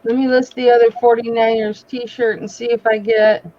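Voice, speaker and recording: Woman, higher voice, teasing, encouraging, muffled lo-fi sound. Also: flat delivery, normal speed, dark tone. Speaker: guest. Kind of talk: casual chat. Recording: dull lo-fi audio.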